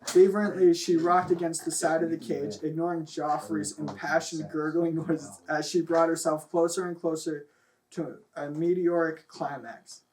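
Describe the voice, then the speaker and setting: A man's voice: a little high, fairly even intonation, moderate pace, a little mellow, poor audio. A man reading fan fiction aloud and chatting casually about it, with a loose, sweary delivery. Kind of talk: reading a story aloud, then casual chat.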